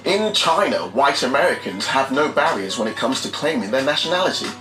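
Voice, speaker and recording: A man's voice: mid-range voice, big pitch swings, a little fast, pushed and bright, soft background music. A man giving wry, scripted narration for a sketch video. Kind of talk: scripted documentary-style narration. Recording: roomy clip audio.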